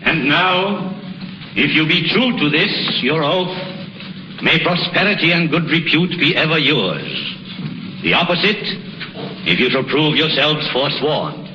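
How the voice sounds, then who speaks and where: A man's voice: fairly high pitch, animated, measured pace, sharp tone, faint music behind, heavily acted. A man playing a genial, fatherly senior doctor in a 1930s radio drama, encouraging and formal in his speech. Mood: solemn, proud. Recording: dull, noisy 1930s radio recording.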